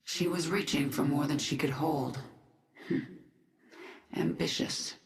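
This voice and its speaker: A woman's voice: low-pitched, measured pace, vocal fry. Commanding swarm queen, echoing voice. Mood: cool, menacing. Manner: terse, commanding. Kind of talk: video game character dialogue. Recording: echoey long reverb, loud music behind.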